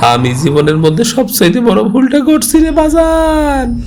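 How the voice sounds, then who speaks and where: A man's voice: very high-pitched, lively intonation, darkish tone, voice pushed up, heavily acted. A man voicing a grieving old village parent in a cartoon, crying and lamenting in a rural Bangladeshi Bengali accent. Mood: anguished pleading. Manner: drawn-out tearful lamenting in rural Bengali dialect. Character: wailing grieving old village parent who lost a son. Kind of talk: cartoon horror story character voice.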